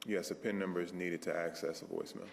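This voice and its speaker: A man with a very low, gravelly voice gives testimony. His answers are brief, factual and clipped, delivered in a flat monotone with faint noise behind. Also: fast. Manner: clipped, matter-of-fact answers. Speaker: witness testifying.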